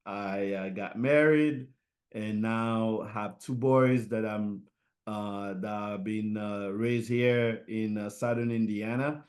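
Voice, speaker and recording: Slow, halting middle-aged man. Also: lowish voice, fairly even intonation, a little mellow. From Haiti. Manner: halting, many fillers and restarts. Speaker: guest, fashion designer. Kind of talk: casual interview answers.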